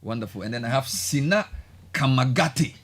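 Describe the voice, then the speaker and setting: A man's voice: medium pitch, lively intonation, moderate pace. A man with a Ghanaian English accent hosting a live breakfast radio interview, teasing his guests and laughing often. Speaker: radio show host. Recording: radio studio with faint ambience.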